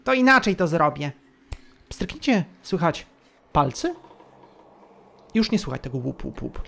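A woman's voice: low-pitched, sing-song, measured pace, gravelly, fairly bright tone, slight background noise. A woman narrating a tabletop roleplaying game as game master, telling the story in a descriptive, suspenseful way.

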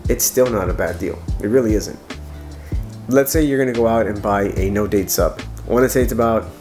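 A man's voice: on the low side, a little mellow. A man in his thirties with a stuffy, nasal voice from a cold, chatting casually and enthusiastically to camera from his living room. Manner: chatty, enthusiastic, restarts often. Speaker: watch reviewer. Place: living room with couch, evening lighting.

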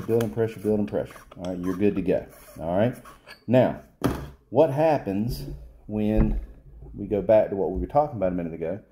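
Plain-spoken man with a dark voice. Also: lowish voice, slight background noise. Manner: plain-spoken, loose, thinks aloud with fillers and restarts. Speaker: repair technician. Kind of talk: casual hands-on repair demo. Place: workshop, off camera behind the bench.

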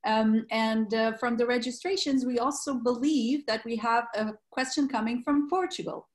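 A woman's voice: a little high, normal speed. A woman moderating a formal online event, polite and using many filler sounds. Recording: roomy room sound.

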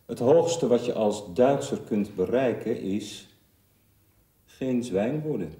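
An actor playing a bitter working man in a stage play, with a deliberate, pompous manner. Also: mid-range voice, expressive, mellow. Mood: bitter, solemn. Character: bitter, formally self-introducing working man. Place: bare hall with earth floor. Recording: echoey room, distant mic.

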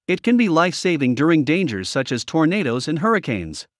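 A man's voice: on the high side, big pitch swings, brisk, no room sound, clean studio sound. A man reading a scripted promotional voice-over as a product review narrator.

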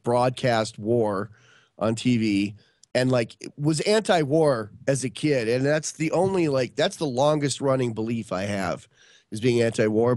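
A man's voice: medium pitch, darkish tone, dry recording. Rambling man in his fifties, dry close mic. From upstate New York. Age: 50s. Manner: rambling, many restarts and fillers. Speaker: podcast guest. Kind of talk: casual storytelling chat.

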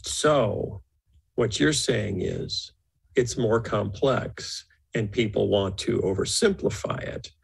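A man's voice: on the low side, slow, creaky, breathy edge. A man on a podcast panel, summarizing and explaining in a conversational way. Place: indoor room, remote podcast call.

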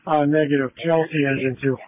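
High-voiced male fire dispatcher on two-way radio. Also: steady tone, a little fast, noisy background. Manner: formal, repeats messages in short clipped phrases. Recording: narrow-band fire radio scanner audio.

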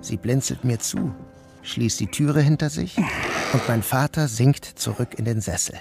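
A man's voice: medium pitch, measured pace, creaky, music in the background. A man narrating an audio drama in the first person, as its protagonist. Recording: noticeable background noise.

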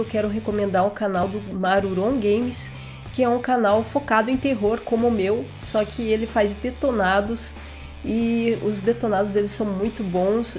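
A young woman hosting a gaming channel, chatting casually through a let's play on a headset mic, still a little hoarse from a cold. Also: medium pitch, darkish tone. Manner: chatty, jokey asides to the game characters. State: recovering from a cold, slightly hoarse.

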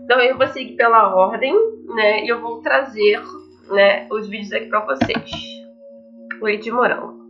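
A woman's voice: a little high, moderate pace. A young adult woman with a Brazilian accent, hosting a casual let's play, chatting to viewers and reading game text aloud. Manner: chatty, addresses viewers with frequent tag questions. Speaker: gaming video host. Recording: slightly dull mic over game music. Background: faint game music.

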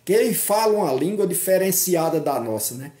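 A man's voice: sits high, animated, brisk, warm tone, echoey room. An older man from Northeast Brazil vlogging outdoors into a phone, chatty and mocking, tagging his sentences with questions. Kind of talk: casual political commentary vlog. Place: outdoors on a tree-lined park road. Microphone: phone camera at arm's length.

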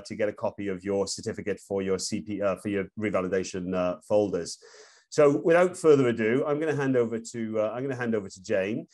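A man's voice: fairly low pitch, a little mellow. A man in his forties hosting a live webinar from home over a video call, with plenty of fillers and restarts. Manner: hesitant, many fillers and restarts. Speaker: webinar host. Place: room at home. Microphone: laptop webcam on a video call.

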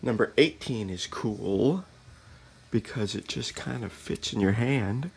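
A man showing his handmade flower sculptures, muttering slowly and now and then breaking into excited exclaims, sometimes whispering, filmed in a dark blacklit room. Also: on the low side, creaky, a little hiss or hum. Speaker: artist showing his work. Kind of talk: casual off-the-cuff show-and-tell, partly whispered.

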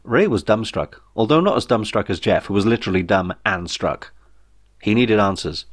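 A man's voice: fairly low pitch, animated, moderate pace. A man reading a comic novel as scripted audiobook narration, in a wry storytelling manner. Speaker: author reading own novel.

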